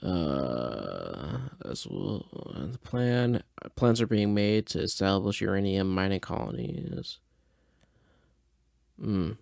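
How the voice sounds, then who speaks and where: Low-voiced tipsy man, laid-back podcaster. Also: animated, slow pace, gravelly, darkish tone, dry recording, studio quality. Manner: laid-back, hesitant, thinking aloud. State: mildly tipsy. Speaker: podcast host. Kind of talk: casual rambling audio reaction and commentary.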